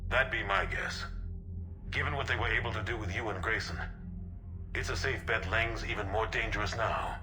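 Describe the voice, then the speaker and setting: Male actor as battle-hardened older officer, low pitch, grim, deliberate, weary, dogged, video game dialogue. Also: background noise, lightly acted. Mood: grim, wary.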